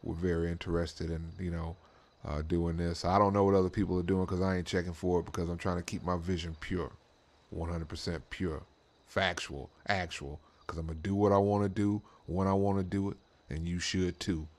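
A man with a deep voice hosts a gaming channel, talking in a flat, even tone. His delivery is casual and rambling, full of slang and repeated tag phrases, with a laid-back manner throughout. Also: a little fast. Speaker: host of a racing roleplay channel. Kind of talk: casual rambling gameplay commentary.

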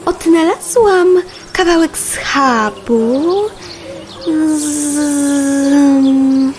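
A woman's voice: high-pitched, a little hiss or hum, exaggerated voice. A woman voicing a dreamy little female fly who composes songs, earnest and flustered, in a children's audio play. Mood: dreamy, composing. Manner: earnest, flustered, self-critical.

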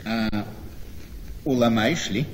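An actor playing a jovial, nosy rich idler in a theatrical radio play. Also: male voice, lowish voice, slow pace. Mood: confidential. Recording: noisy, echoing hall-like radio play recording.

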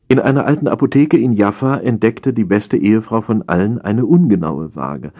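A man's voice: low-pitched, darkish tone, slightly rough. A man narrating a satirical audiobook from a script, with a theatrical, dryly comic delivery.

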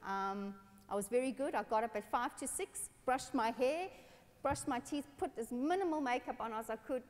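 A woman from South Africa, a pastor telling personal stories in a lively sermon on a church stage. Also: on the high side, moderate pace. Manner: animated, expressive, gestures while speaking. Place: large dark church stage. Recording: long church hall reverb.

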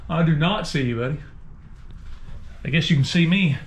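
A man's voice: fairly high pitch, lively intonation, normal speed, a little hiss or hum. Playful man whooping in a cave. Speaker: vlogger exploring a cave. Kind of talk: casual outdoor chat. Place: small cave under a river island.

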